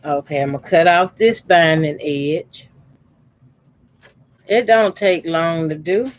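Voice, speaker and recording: Quilting guy in his 50s, talks real slow while he sews, keeps his voice down. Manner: talks unhurriedly while sewing, deliberately keeping his voice lowered. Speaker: quilting channel host. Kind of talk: casual how-to demonstration.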